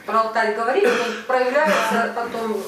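A woman taking part in a group session, casually describing impressions in halting, pieced-together phrases, on an echoey, distant recording. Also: on the high side, a bit bright. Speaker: participant describing what they perceive. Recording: very echoey, distant mic, clear background noise.

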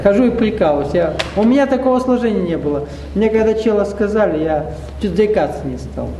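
A man's voice: high-pitched, lively intonation, fairly quick, background noise. A man leading a spiritual seminar, lecturing a live audience in a conversational way with rhetorical questions and wry asides. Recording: roomy hall echo.

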